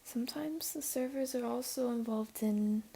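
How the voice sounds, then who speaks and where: A woman voicing a flirty, teasing, comforting jaguar beastling in an intimate ASMR roleplay, speaking softly and coaxingly in a half-whisper. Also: fairly high pitch, moderate pace, creaky, slightly rough. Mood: patient, inviting.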